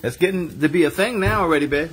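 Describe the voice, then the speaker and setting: A man's voice: fairly high pitch, expressive, fast. A man hosting a casual family kitchen vlog, teasing and bantering playfully. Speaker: host filming a family cooking livestream. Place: home kitchen, indoors. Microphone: handheld phone camera. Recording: noisy handheld phone recording in kitchen.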